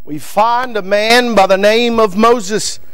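A man in his thirties with a Kentucky accent preaching a fiery Baptist sermon from a pulpit in a church sanctuary, often shouting and calling out men in the pews by name. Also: high voice, steady tone, slow pace, smooth. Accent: Northern Kentucky. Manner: builds to shouting, repeats lines, addresses men in the pews by name. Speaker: guest preacher. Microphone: pulpit microphone.

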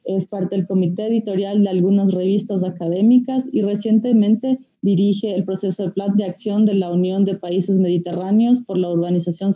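Woman hosting a panel on a call, very dark mellow voice, lots of ehs, kinda dull lo-fi sound. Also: on the low side. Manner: formal, with frequent filler pauses. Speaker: moderator. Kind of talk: conference panel moderation. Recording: dull, slightly lo-fi audio.

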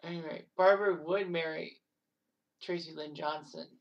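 A woman in her thirties narrating sports history casually, rambling with offhand asides and quick self-corrections. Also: on the low side, fairly even intonation, recorded from a distance, highs cut off. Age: 30s.

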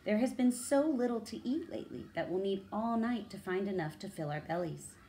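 A woman's voice: mid-range voice, expressive, fairly quick, dark tone, a little hiss or hum, voice pushed up, natural voice. A sleepy mother hyena from a picture book, voiced by a woman reading aloud. She sounds concerned and cautioning, with a yawning delivery. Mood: weary concern.

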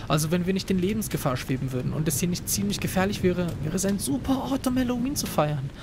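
A man's voice: sits high, lively intonation, fast talker. A man voicing a young male student exploring a haunted town in an amateur audio drama, talking eagerly and urgently. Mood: determined. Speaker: voice actor in a fan audio drama. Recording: ambient noise bed behind voice.

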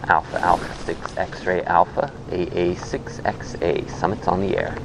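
A man's voice: sits low, steady tone, a little slow, creaky, noisy background. An American man working as an amateur radio operator, making repetitive, procedural calls with spelled-out call signs. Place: outdoors on a hilltop.

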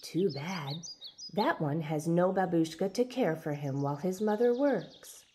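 A woman's voice: on the low side, lively intonation, unhurried, a little mellow, a little hiss or hum. A woman voicing a gossiping, superstitious old village grandmother with a dramatic storytelling manner, in a storybook reading. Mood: whispered pity. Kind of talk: storybook read-aloud.

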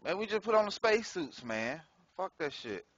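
A man's voice: high voice, very animated, normal speed, fairly bright tone, no echo, lo-fi sound. A man casually commenting on his gameplay in a slangy, profane way, laughing often. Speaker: gameplay streamer.

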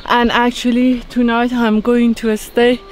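Slow, enthusiastic woman vlogging on a trail. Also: a little high, little pitch movement, very noisy. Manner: enthusiastic, exclaims at views. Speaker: travel vlogger. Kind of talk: casual vlog narration while hiking. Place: outdoors on a mountain trail.

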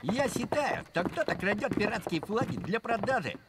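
An actor playing a dreamy, theatrical pirate schoolboy in a cartoon, with a drawn-out storytelling manner. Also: male voice, high-pitched, big pitch swings, background noise. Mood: indignant musing. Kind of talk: animated cartoon dialogue. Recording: music under the voice.

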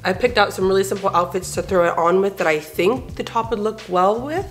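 A woman's voice: mid-range voice, a little fast, soft background music. A young woman in her twenties making a casual, chatty crafting vlog at home, enthusiastic and full of fillers. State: head cold, nasal. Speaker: crochet vlogger. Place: bedroom and living room at home.

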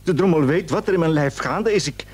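A man's voice: a little high, lively intonation, fairly quick, a little mellow, breathy edge. An actor playing an anxious, frightened husband who stammers and repeats his words in a radio play. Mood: frightened, urgent. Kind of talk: Dutch radio play acting.

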